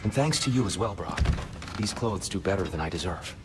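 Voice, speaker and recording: An actor playing a gentle, courteous old god in a video game, speaking in a formal, measured, humble way. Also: male voice, low voice, lively intonation, over music. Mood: warm, grateful. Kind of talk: cinematic video game acting.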